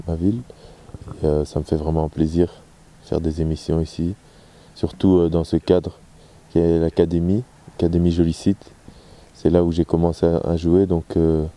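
Very dark, low-voiced young man. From Belgium. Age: 20s. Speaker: footballer being interviewed. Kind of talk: casual interview answers. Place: open-air football pitch. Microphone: handheld microphone.

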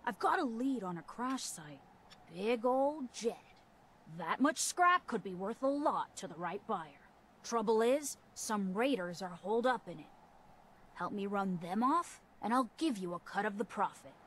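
An actress voicing a brisk, businesslike young scavenger woman in a video game, matter-of-fact and streetwise. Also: mid-range voice, expressive, slight background noise, close to an everyday voice.

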